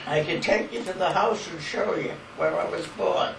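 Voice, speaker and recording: A woman's voice: lowish voice, normal speed, vocal fry. An elderly woman, being interviewed by family at home, blunt and folksy. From Rhode Island. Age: older. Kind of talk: casual family chat. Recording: echoey home video, distant mic, hiss.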